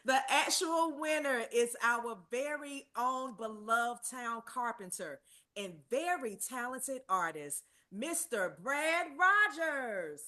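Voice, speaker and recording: Cheerful small-town aunt and event hostess played by a woman, high lively voice, unhurried delivery. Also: full character voice. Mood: festive, playful. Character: cheerful Aunt Mary, town contest hostess, playful and folksy. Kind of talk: table read acting.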